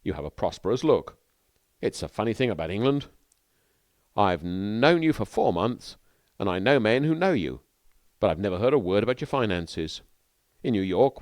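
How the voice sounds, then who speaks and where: A man's voice: on the low side, dry recording. A man voicing a melancholy young New York newspaperman who talks in a wordy, dryly ironic way.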